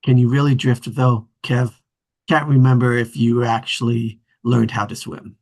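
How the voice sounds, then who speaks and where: A man plays an earnest family man in a drama script read. His voice is husky and mid-pitched, sentimental and natural. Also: flat delivery, normal speed, a little mellow, close to an everyday voice. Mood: teasing. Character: earnest, sentimental grown brother, husband and father.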